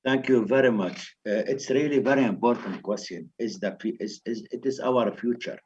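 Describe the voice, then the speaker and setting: A man, an election candidate, answering in an online panel debate in halting, simple phrases, comparing children to a field. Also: medium pitch, a little mellow, breathy edge. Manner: halting, uses a farming metaphor. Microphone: distant video call mic. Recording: distant, muffled video call.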